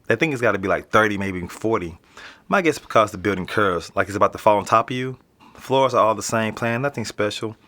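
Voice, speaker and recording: A man's voice: on the low side, fast, sharp tone. A young man with an American accent playing a casual, slangy, streetwise teenage boy being interviewed in a naturalistic audio drama. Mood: offhand. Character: nonchalant streetwise teenage boy living in an apartment building.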